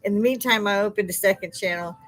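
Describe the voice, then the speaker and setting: Older woman, loud and laughing, a live-stream host chatting at her desk mic. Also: medium pitch, fast, poor audio. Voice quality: loud speaking voice. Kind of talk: casual live-stream chat. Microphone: desk microphone.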